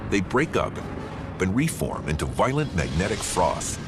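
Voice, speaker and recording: A man's voice: low-pitched, very animated, moderate pace. A man reading scripted documentary narration. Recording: music behind the voice. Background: loud background music.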